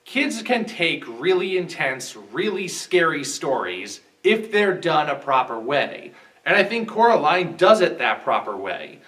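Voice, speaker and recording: A man's voice: fairly high pitch, big pitch swings, unhurried, fairly bright tone, recorded from a distance. A man giving scripted analytical commentary as a guest film reviewer.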